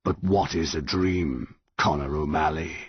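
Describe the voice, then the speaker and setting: A man's voice: very deep voice, steady tone, slightly rough, dry recording, highs cut off, exaggerated voice. A man voicing an ancient roaring tree monster. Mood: musing. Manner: slow, grand, commanding. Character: ancient towering male tree monster, imperious.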